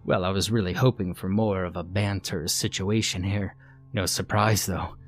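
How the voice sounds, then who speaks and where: A man's voice: sits low, fairly even intonation, moderate pace, faint music behind, natural voice. A man voicing a gleeful, sinister male shapeshifter with a British accent, chatty and mocking. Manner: taunting, theatrically chatty.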